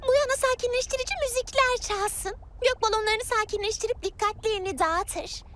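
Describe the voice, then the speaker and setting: A woman's voice: extremely high pitch, steady tone, a little fast, very noisy. A woman voicing a brave, cheerful, caring young girl hero in a children's cartoon dub, with an eager, take-charge delivery. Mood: urgent, determined. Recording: music behind.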